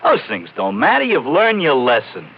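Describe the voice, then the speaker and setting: An actor playing a slangy, defensive small-time American ex-mob bookie in a vintage radio crime drama. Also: male voice, medium pitch, wildly swooping pitch, moderate pace. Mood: agitated, defensive. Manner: slangy gangster talk, stammering, protesting. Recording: muffled old radio recording.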